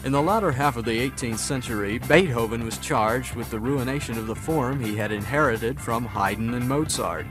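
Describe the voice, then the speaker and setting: A man's voice: mid-range voice, normal speed, few pauses. A man reading scripted mock-scholarly radio commentary in a formal, pompous manner. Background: guitar music.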